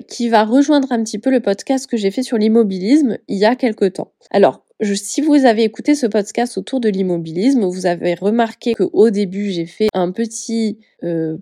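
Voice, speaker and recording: A woman's voice: medium pitch, mellow, no room sound, clean studio sound. A woman with a head cold and a stuffy nose, speaking with a Southern French accent as she hosts a casual solo podcast as a personal coach. She talks in a chatty, hesitant way, often searching for words and correcting herself.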